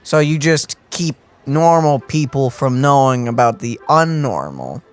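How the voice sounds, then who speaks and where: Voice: medium pitch, lively intonation, a little slow, clear, resonant tone, no echo. A gaming co-host giving casual, dryly sarcastic and questioning reaction commentary.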